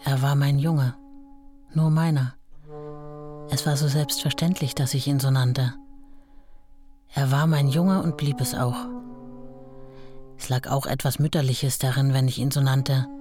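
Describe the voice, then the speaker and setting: A woman's voice: a bass voice, steady tone, unhurried, long pauses. A devoted woman over music. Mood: wistful. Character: devoted young woman in love, motherly, anxious. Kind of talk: radio play, intimate letter reading and recollection.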